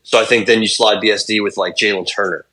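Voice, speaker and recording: Young man with a lowish, laid-back voice. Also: fairly even intonation, fast, bright, forceful tone. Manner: laid-back, rambling, profane. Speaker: podcast co-host. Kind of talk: casual sports chat.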